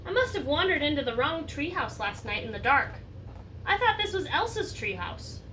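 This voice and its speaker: A storybook elephant, acted out by a woman, hapless and loud. The voice is very high, with exaggerated exclamations. It sounds set back from the mic, with slight noise around it. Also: big pitch swings, normal speed, full character voice. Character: loud stuck male elephant, hapless and apologetic. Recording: distant mic, faint background noise.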